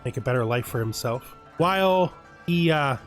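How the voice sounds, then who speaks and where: A man's voice: mid-range voice, lively intonation, moderate pace, a bit bright, faint music behind. A man hosting a strategy game let's play, thinking aloud and often restarting and correcting himself. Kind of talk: casual game commentary.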